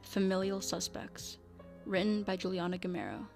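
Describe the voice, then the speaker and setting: A woman's voice: mid-range voice, expressive, normal speed, vocal fry, a little mellow, soft background music. A woman narrating a scripted radio mystery drama in a storytelling manner.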